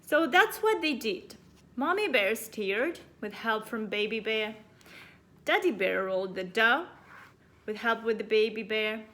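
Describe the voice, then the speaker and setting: A woman's voice: a little high, animated, measured pace, bright, forceful tone. A woman teacher reading a children's storybook aloud expressively to young pupils. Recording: faint background noise.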